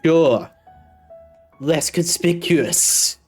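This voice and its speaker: A video game streamer talks casually through a playthrough, reading text aloud along the way. The pitch sits in a middle range, and quiet game music runs underneath. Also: drawn-out words, husky. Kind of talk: casual gameplay commentary, reading dialogue and narration aloud.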